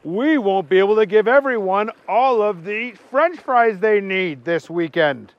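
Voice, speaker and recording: A stern, exasperated middle-aged fast-food manager who scolds in a measured, slow way, as a man plays him in a storybook. His voice sits fairly high and the recording is dry. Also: expressive, no room sound, highs cut off. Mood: calm.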